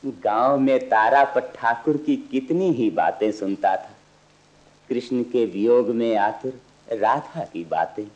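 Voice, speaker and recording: An actor playing a humble, devout village farmer in a radio play, speaking deferentially and with simple pride. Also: male voice, mid-range voice, takes it slowly, a little mellow, close to an everyday voice. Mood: wistful. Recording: dull archival radio recording.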